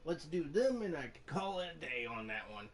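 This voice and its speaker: A woman's voice: sits low, big pitch swings, fairly quick, heavy background noise. A woman giving casual, off-the-cuff commentary while playing a game. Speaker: gamer and channel host. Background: loud game music.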